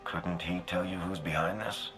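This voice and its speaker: An actor playing a steady, confident male soldier in a video game, talking directly and firmly. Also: deep voice, moderate pace. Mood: steady, concerned. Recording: clear music behind dialogue.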